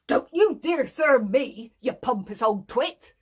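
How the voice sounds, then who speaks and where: A woman's voice: on the high side, a little slow, gravelly, fairly bright tone, slightly rough, heavily acted. A woman voicing a blunt, stubborn male country farmer who trades rude insults. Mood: scornful.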